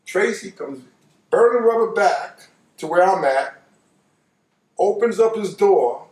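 An older American man with a high voice, spinning a tale in loose, colloquial speech. He repeats phrases to hammer them home. He sounds set back from the microphone in a roomy space. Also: expressive, slow pace, darkish tone, recorded from a distance. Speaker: storyteller. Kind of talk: animated personal storytelling, casual. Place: living room couch, indoors.

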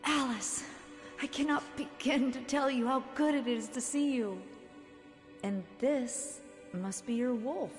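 An actress playing an earnest, hopeful young heroine in a video game cutscene, speaking sincerely. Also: female voice, high-pitched, unhurried. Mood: warm relief. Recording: long reverb, faint music behind.

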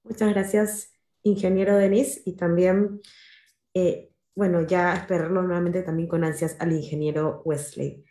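A woman's voice: lowish voice, fairly even intonation, measured pace, dark tone. A woman hosting an online engineering forum, who formally hands over to speakers by their titles. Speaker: host, moderator. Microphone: video call. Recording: roomy video-call audio.

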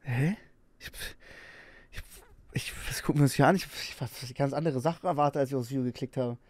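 A man's voice: mid-range voice, fairly quick, a little mellow, no room sound. Young man, headset mic, casual streamer reaction, bemused questions, close dry sound. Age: young adult. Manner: bemused, asks questions aloud. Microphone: headset with boom mic.

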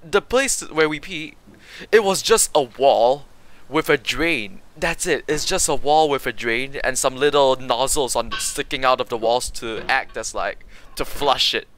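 A man's voice: mid-range voice, fairly bright tone. A young man from Malaysia, chatting casually and joking with his chat while livestreaming a game. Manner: goofy, rambling, quick jokes to chat. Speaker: streamer.

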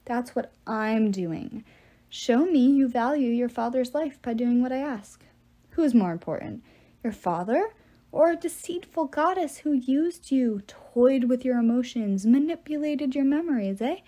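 An ancient giant of a storybook villain, played by a woman narrator. He is menacing and patient, taunting his listener with deliberate, mock-courteous words. The tone stays mellow rather than sharp. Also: sits high, lively intonation. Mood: sly taunting. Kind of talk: audiobook character voice.

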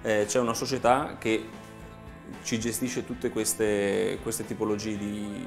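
A man's voice: lowish voice, level tone, slow, creaky. Male designer explaining, music underneath. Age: 30s. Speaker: product designer. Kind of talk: informal product explanation. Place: small meeting room, indoors. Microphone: lapel mic.

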